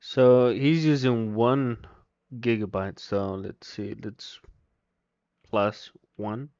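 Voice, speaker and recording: A man with a Mexican accent livestreaming his first software install, thinking aloud, muttering to himself and reading chat aloud. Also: lowish voice, fairly even intonation, slow, clean tone, no room sound, dull audio. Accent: Mexican Spanish. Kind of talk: casual live commentary while installing software.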